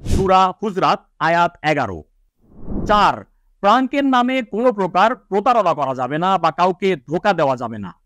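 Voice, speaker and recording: Guy with a pretty high voice doing a formal islamic talk to camera, teacherly, dry close sound. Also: very animated, moderate pace, a bit bright, no echo. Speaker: presenter of an Islamic educational video. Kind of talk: religious lecture to viewers, citing hadith and scripture.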